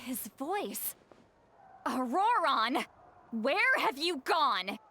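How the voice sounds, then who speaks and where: A woman's voice: high voice, sing-song, very slow, pushed and bright, a little hiss or hum, exaggerated voice. A woman voicing an irritable, exasperated granny in a video game, scolding in a huffy, dramatic, motherly way. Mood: alarmed, angry.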